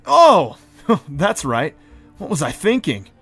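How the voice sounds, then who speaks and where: A man's voice: on the high side, wildly swooping pitch, takes it slowly, creaky, a bit bright, husky, no echo, faint music behind, exaggerated voice. A man playing an amiable cartoon pony prince with a casual, good-natured and slightly hesitant way of talking. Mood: sheepish. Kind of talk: scripted audio drama acting.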